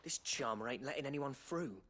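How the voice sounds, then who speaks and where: An actor playing a friendly, plain-spoken rebel comrade, chummy and coaxing, in a video game. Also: male voice, medium pitch, brisk, fairly bright tone, lightly acted. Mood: cheerful.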